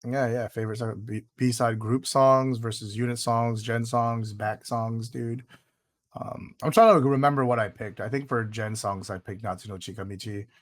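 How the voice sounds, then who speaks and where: A man's voice: medium pitch, fairly even intonation, moderate pace. A man co-hosting a podcast in casual chat, rambling with lots of fillers and dropping "dude" often; his first language was Vietnamese. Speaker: podcast co-host.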